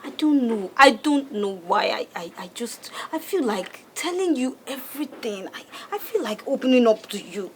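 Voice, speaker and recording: A woman's voice: a little high, expressive, slight background noise, lightly acted. An actress playing a lonely woman who hesitantly opens up to a child. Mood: wistful. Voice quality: slow, hesitant and wistful. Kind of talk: film drama.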